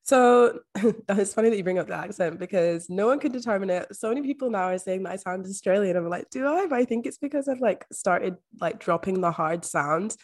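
A young woman from Scotland with a soft Scottish accent, a podcast guest speaking openly and reflectively in a casual interview. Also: mid-range voice, expressive, brisk. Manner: open, reflective, uses "like" often. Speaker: podcast guest, mindset coach.

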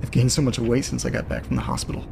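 A man's voice: medium pitch, big pitch swings, fast talker, vocal fry, noisy background. An actor playing an uneasy, lonely man reading eerie letters aloud in an audio drama monologue. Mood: bewildered, troubled. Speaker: narrator.

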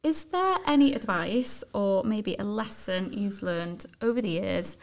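Gravelly-voiced enthusiastic podcast host woman. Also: medium pitch, expressive, unhurried. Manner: enthusiastic, encouraging interviewer. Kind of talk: casual podcast chat.

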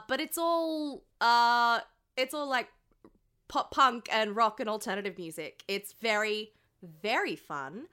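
The stuffed-up, sore-throated Australian woman on a chatty comedy podcast, bright-voiced, swearing and poking fun at herself like she's catching up with a mate after a big weekend. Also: on the high side, smooth. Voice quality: slightly stuffy, congested. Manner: playful, self-mocking, sweary. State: sore throat from a cold night out. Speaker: podcast co-host. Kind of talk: casual comedic podcast chat.

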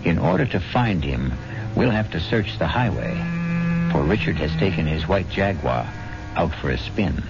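Deep, gravelly male radio mystery host. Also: very animated. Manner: deliberate, wry, theatrical. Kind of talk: suspenseful scripted narration. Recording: dull 1970s radio broadcast, loud music.

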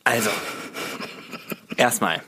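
Young guy on a podcast, casual and jokey, making mock-serious points, mid-pitched voice. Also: slow pace, breathy edge. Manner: playful, animated explaining with mock-serious arguments. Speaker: podcast guest. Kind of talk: casual humorous podcast chat.

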